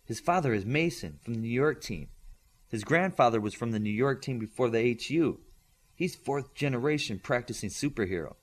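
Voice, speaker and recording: A man's voice: mid-range voice, close to an everyday voice. A man voicing a teasing, knowing young telepath girl in an audiobook story. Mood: informative. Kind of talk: audiobook character dialogue.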